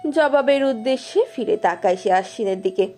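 A woman's voice: a little high, fairly quick. A woman narrating a romance story expressively from a script. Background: soft music.

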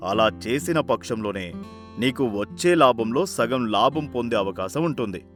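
A firm older village patriarch, acted by a man, speaks with authority and care in a cartoon moral tale. He instructs and scolds at a middling pitch. Light music plays behind him. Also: expressive, moderate pace, close to an everyday voice. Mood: firm, advising. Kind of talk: animated moral-story voice acting.

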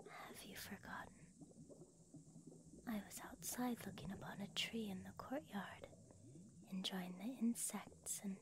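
A woman playing a gentle, soothing young healer in a whispered ASMR roleplay. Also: medium pitch, lightly acted. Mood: calm, musing.

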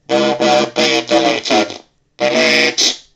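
A man's voice: mid-range voice, monotone, extremely slow, creaky, sharp tone, soft background music, voice pushed up, exaggerated voice. A man voicing a menacing cybernetic robot through an electronic voice changer and small speaker.

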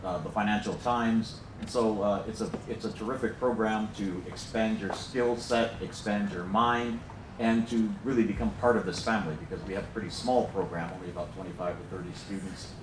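A man's voice: on the low side, steady tone, brisk, distant mic, noisy background. A middle-aged American man, a program director, giving a chatty, joking welcome speech with many fillers, in a large lecture hall. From United States. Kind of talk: informal welcome speech to an audience. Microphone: handheld mic, filmed from the back. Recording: roomy hall, heard from afar.

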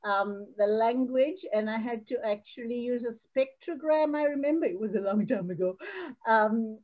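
Older woman from Sri Lanka, halting, chatty; high-ish voice, tinny call audio. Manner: hesitant, many fillers and restarts. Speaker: audience member. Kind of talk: casual recollection on a video call. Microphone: video call.

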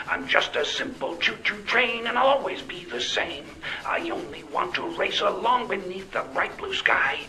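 Small, gruff, high-pitched little train. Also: male voice, bright, forceful tone, heavily acted, rough recording. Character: small, gentle, simple-hearted talking steam locomotive.

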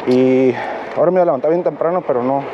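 A man vlogging while cycling at night, speaking Spanish as a learner with a halting manner and many fillers. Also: medium pitch. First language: English. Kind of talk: casual vlog narration while cycling. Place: outdoors on a bike at night on city streets. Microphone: action camera on the bike. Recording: dull action camera audio with road noise. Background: traffic and road noise.

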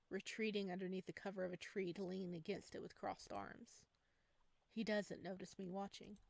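A woman's voice: mid-range voice, vocal fry, no room sound. A woman reading visual novel narration aloud expressively, as a gaming video host.

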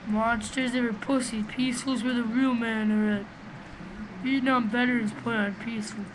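A man with a small, high voice giving rambling, profane, excitable commentary while playing a game, recorded on a webcam microphone. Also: moderate pace. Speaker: gamer doing a let's play. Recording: noisy home recording.

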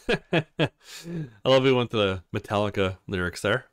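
A man's voice: lowish voice, a little slow, smooth, no room sound, clean studio sound. A man doing a casual let's play, reading and commenting on a visual novel.

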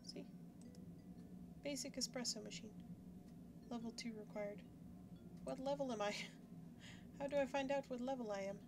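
A woman's voice: on the high side, moderate pace, long pauses. Young woman gaming youtuber, rambly and jokey, lots of background noise. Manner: chatty, self-mocking, thinks aloud. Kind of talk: casual gameplay commentary. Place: home setup, webcam in corner.

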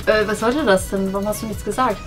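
A woman's voice: mid-range voice, fairly even intonation, quick pace, faint music behind. A young adult woman in casual everyday conversation, picked up by a camera across a room indoors. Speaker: colleague and target of hidden-camera pranks. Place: indoor office and studio kitchen. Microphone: hidden camera across the room.

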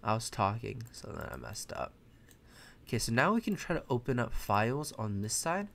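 A young American man, a native Mandarin speaker, casually commenting on his own chess game from his bedroom, chatty and quick to correct himself. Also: medium pitch, huge pitch swings, creaky, a bit bright. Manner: thinks aloud, chatty, self-correcting. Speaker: chess streamer. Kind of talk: casual live commentary while playing. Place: bedroom at home, indoors.

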